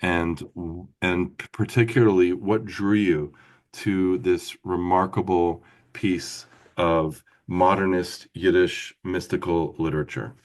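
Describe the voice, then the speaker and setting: A man's voice: low-pitched, fairly even intonation, slow. A man hosting an online book celebration over a video call, effusive and full of praise. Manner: effusive, warm praise with fillers. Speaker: host. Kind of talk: online event introduction.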